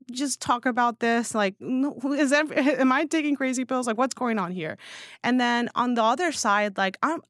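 A woman's voice: on the high side, no echo. Casual woman in her thirties, dry studio sound. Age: 30s. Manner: informal, emphatic, peppers speech with "like". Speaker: newsletter founder, podcast guest. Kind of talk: casual, animated interview chat.

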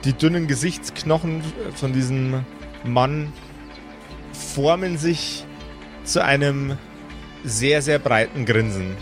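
Game master, male, unhurried improvised narration, hesitant word searching, soft music bed. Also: mid-range voice.

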